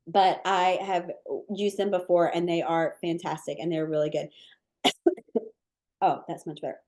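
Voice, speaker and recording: A woman with a low voice, a cookie decorating teacher answering viewer questions in a live webinar, chatty and relaxed with many ums and likes.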